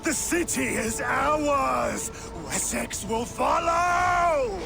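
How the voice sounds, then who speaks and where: A man playing a proud Danish warlord in a video game, declaiming with a gravelly voice and hunger for conquest. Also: extremely high pitch, very slow, raised voice, full character voice. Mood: triumphant. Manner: declamatory, triumphant orator. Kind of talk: dramatic video game acting. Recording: music behind the voice.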